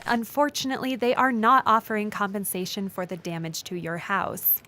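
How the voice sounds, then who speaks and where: An earnest, formal female lawyer played by a woman gives absurdly literal counsel in a deadpan comedy sketch. Her mid-range voice comes with faint noise behind it. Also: medium pitch, moderate pace. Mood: formal, sympathetic.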